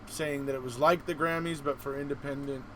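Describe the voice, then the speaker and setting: A man hosting a casual live-stream interview, chatting in a halting, rambling way. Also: medium pitch. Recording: steady background noise.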